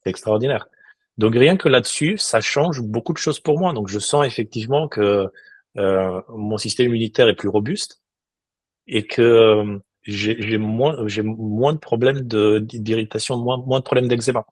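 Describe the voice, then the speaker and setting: Un homme d'une quarantaine d'années, invité d'un podcast, qui parle sérieusement avec beaucoup d'hésitations et de reprises. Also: male voice, mid-range voice, normal speed, dry recording. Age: 40s. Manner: earnest, many restarts and hesitations. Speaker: guest. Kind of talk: casual interview talk.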